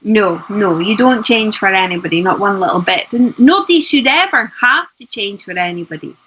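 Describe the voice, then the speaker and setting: A woman's voice: mid-range voice, lively intonation, moderate pace, a bit bright, a little hiss or hum, rough recording. A woman, co-hosting a casual chat, affirming and agreeable. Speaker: co-host.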